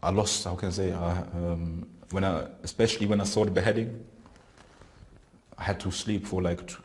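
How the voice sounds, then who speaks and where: Man, interviewee; deep and monotone, halting delivery, often searches for words. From Germany. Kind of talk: recounting experiences in an interview. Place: prison.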